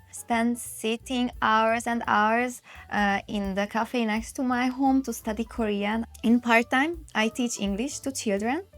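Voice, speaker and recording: Late-20s woman, Hungarian accent, chatty interview guest, slightly high voice, soft music behind. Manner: lively, chatty, frequent "like" fillers. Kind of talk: casual interview chat.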